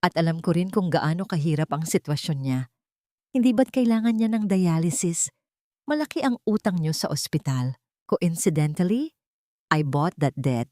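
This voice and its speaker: A cold and calculating wealthy matriarch, acted out by a woman narrator for an audio drama. The delivery is deliberate and threatening, in a lowish female voice with a dry, close sound. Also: dark tone, no echo, lightly acted. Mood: cold menace. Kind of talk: Tagalog audio drama storytelling.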